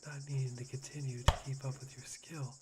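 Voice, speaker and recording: A performer voicing an unhinged, self-deprecating young man obsessed with hope, in whispered, breathy and fawning ASMR roleplay. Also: mid-range voice, flat delivery, fairly quick, very noisy, close to an everyday voice. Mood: curious, self-deprecating. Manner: breathy, fawning, giggly, softly menacing.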